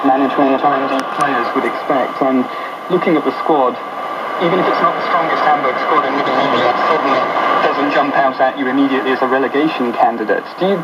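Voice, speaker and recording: Muffled male sports presenter in heavy noise. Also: mid-range voice. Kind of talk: measured sports discussion. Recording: dull, very noisy shortwave radio reception.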